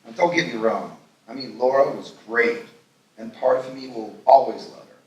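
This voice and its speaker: A man's voice: mid-range voice, moderate pace, darkish tone, lo-fi sound, close to an everyday voice. A man acting a smitten, self-absorbed man in his thirties at a live table read, earnest and gushing. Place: live festival stage reading in a large room. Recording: echoey hall, distant mic, noisy.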